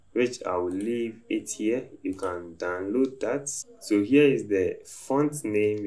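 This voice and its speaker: A man calmly talking through a design software tutorial step by step as he works at his computer. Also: on the low side. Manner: slow, step-by-step instructive talk. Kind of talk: screen-recorded software tutorial narration.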